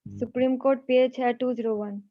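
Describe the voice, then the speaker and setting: Even-toned, higher-pitched Pakistani woman, dull recording. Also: moderate pace. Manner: deferential, repeatedly addresses teacher as sir. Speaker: law student. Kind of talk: classroom question and comment.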